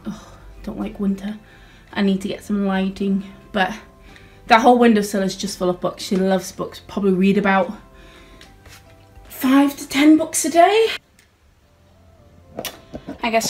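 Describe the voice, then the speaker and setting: A woman in her thirties chatting casually to her phone camera at home while tidying, rambling with friendly asides to viewers. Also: medium pitch. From United Kingdom. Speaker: home cleaning vlogger. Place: small home, bedroom and hallway. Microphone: handheld phone camera at arm's length.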